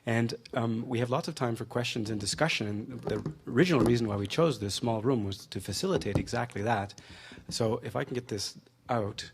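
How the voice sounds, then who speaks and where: A man's voice: mid-range voice, a little fast, few pauses, a little hiss or hum. A male moderator running a lecture Q&A in a casual way with lots of fillers. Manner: casual, filler-heavy, friendly.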